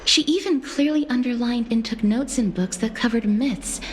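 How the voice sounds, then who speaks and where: An actress playing a polite, bookish young woman in a video game, speaking softly and formally. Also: fairly high pitch, moderate pace, a little hiss or hum, lightly acted. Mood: gentle. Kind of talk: scripted video game dialogue. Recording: music behind the voice. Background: game music.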